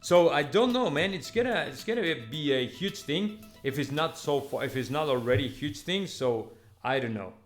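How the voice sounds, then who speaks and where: A man's voice: a little high, expressive, normal speed. A man talks casually to his audience as a football host. His delivery is conversational and emphatic, with repeated phrases and checking tags at the ends of thoughts. Light music plays underneath. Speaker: football vlogger and fan channel host. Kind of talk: casual news commentary to viewers.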